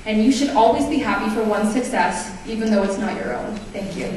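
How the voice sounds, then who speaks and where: A woman gives a slow, deliberate pageant answer, placing each phrase with care. She sounds distant from the mic in a strongly echoing theatre with faint noise. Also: medium pitch. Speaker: pageant candidate. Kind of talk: answering an onstage question. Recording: echoey theatre from far off.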